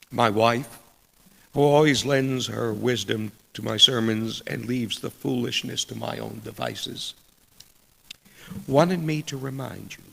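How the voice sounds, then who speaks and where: A middle-aged man delivering a sermon in a deliberate, measured way with dramatic pauses and a gravelly, husky voice. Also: medium pitch, fairly bright tone. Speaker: preacher reading a sermon. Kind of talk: sermon with read quotations. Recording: long echoing hall reverb.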